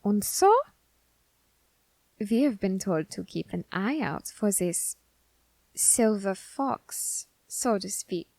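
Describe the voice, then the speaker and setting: A woman playing a scholarly, quietly menacing Grim Reaper in an ASMR roleplay, speaking in formal, deliberate phrases with pauses. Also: medium pitch, animated, gravelly, dry recording, heavily acted, poor audio. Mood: grave, confiding. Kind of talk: ASMR character roleplay audio drama.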